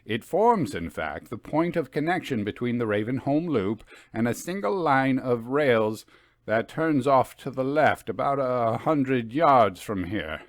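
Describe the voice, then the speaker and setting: A genial, well-bred gentleman, voiced by a male reader speaks in a confiding, old-fashioned manner. His pitch is medium and the storybook recording is close and dry. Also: no echo, lightly acted. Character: genial gentleman, keen and confiding, telling a grave tale.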